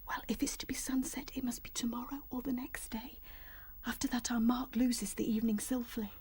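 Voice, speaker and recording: A woman playing a sorrowful, dignified and brave female rabbit in a radio drama, speaking gravely and with measure. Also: a little high, steady tone, brisk, a little mellow. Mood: hushed, conspiratorial.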